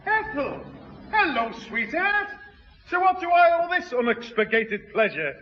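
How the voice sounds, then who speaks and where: Blustering Northern English bloke, high-pitched, mangles fancy words, old radio comedy in a reverberant hall. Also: male voice, very animated, measured pace, heavily acted. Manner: blustering, malapropism-prone. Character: jovial, pompous working-class Northern man, mangles big words. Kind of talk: 1960s radio comedy acting. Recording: muffled, echoing, noisy old radio broadcast.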